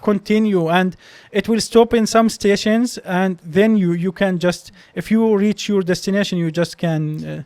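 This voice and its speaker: A man's voice: high voice, fairly even intonation, normal speed, few pauses, a little mellow, no echo. A young man with a Palestinian accent whose first language is Arabic, a doctor telling stories as a podcast guest, hesitant with many restarts. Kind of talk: casual interview storytelling.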